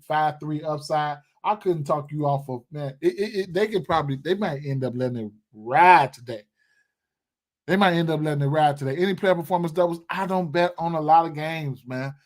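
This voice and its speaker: A young man hosting a livestream, talking casually and loosely with lots of fillers, repeats and slang while reading viewers' comments. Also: a little high. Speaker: sports betting livestream host. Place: indoor room.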